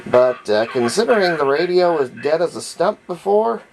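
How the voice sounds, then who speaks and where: A man giving a casual hands-on tutorial in a folksy, unhurried way, thinking aloud as he works, with a gravelly voice. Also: fairly high pitch, big pitch swings, low-quality recording. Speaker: radio repair hobbyist demonstrating. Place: indoors at home, hands-on at workbench. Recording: faint background noise, home workshop.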